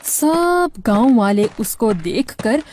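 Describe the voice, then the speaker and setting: A woman's voice: fairly high pitch, big pitch swings, slow, a little mellow, slight background noise. A woman narrating a scripted moral story for children as a storyteller.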